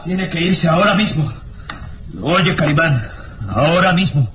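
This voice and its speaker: A skeletal drunk, nervous, hostile, snappish, slightly high voice, faint hiss. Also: male voice, lively intonation, measured pace. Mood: angry, menacing. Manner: jittery, snapping, bitter. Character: gaunt, drunken, fearful hostile man. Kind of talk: 1960s radio drama acting.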